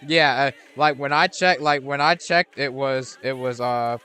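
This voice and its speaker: A young man chatting over his gameplay in a bright, clear voice, rambling loosely and often restarting his thoughts. Game music plays faintly underneath him. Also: medium pitch, unhurried. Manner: rambling, with frequent restarts and fillers. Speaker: game streamer. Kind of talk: casual gameplay commentary.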